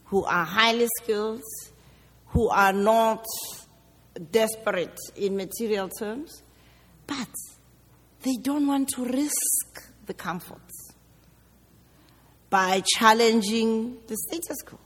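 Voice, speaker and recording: Brief: South African woman, guest speaker at a public Q&A, deliberate, emphatic delivery, long hall reverb. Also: a little high, fairly bright tone. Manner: deliberate, emphatic, rhetorical questions. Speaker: guest speaker answering audience questions. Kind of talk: public talk, question and answer.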